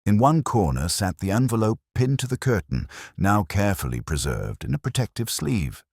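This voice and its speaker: A man reading a mystery story as a scripted narrator, with a husky voice. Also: on the low side, big pitch swings, normal speed, darkish tone, dry recording, studio quality.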